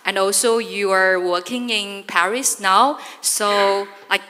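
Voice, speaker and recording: A woman's voice: mid-range voice, takes it slowly, pushed and bright. A woman moderating a panel, friendly, with loose phrasing full of fillers. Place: large conference hall. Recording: reverberant conference hall.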